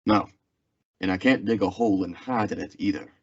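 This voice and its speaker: A man's voice: low voice, a little fast, breathy edge. A man acting a weary, stoic frontier marshal in an old-time radio western drama. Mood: grave. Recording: dull, lo-fi audio.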